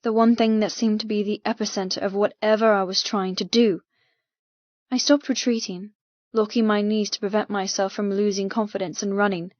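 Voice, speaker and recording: Female audiobook narrator, mid-pitched, expressive and deliberate with dramatic intensity; close, dry, slightly muffled recording. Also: no echo. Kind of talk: dramatic first-person fiction narration. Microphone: close mic in dry room.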